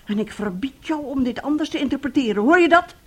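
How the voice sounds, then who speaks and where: An actress playing a domineering, bitter middle-aged mother in a radio play, husky and sharp-tongued. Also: sits high, expressive, quick pace. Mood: indignant, scolding. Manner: scolding, sharp-tongued, self-righteous.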